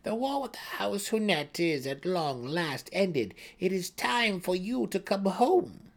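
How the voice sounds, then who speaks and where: A man voicing a scheming female dark elf priestess in a fantasy audiobook, commanding and falsely sweet. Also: high-pitched, sing-song, measured pace, creaky, pitched above the performer's own voice, exaggerated voice. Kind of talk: dramatic fantasy audiobook character.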